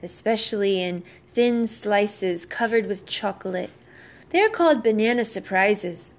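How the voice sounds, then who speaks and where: A woman's voice: mid-range voice, unhurried, natural voice. Woman playing a stubborn, polite little storybook boy. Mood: agreeable.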